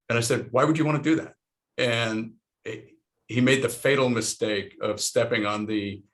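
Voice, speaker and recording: A middle-aged man from Lebanon answers panel questions in a loose, rambling, frank way. His voice sits low with a gravelly edge. He often restarts his sentences as he thinks out loud. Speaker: panel guest, investor. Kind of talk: casual panel conversation.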